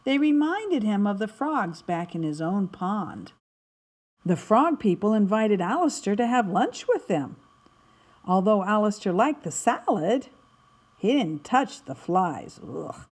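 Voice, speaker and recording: A woman narrating a children's story in an expressive, dramatic way, stretching words for emphasis. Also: mid-range voice, a little slow. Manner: animated, dramatic delivery with emphatic word stretching. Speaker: storybook reader.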